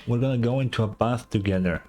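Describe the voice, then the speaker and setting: Man, mid-pitched, game reaction streamer: off-the-cuff commentary, skeptical, blunt, sweary. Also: fast, background noise. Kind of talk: casual live reaction commentary.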